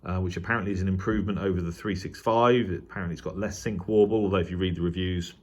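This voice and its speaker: A British man giving a casual, unscripted radio review as a hobbyist vlogger, rambling along in a hesitant, halting way. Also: low-pitched, fairly even intonation. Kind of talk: casual unboxing and review talk.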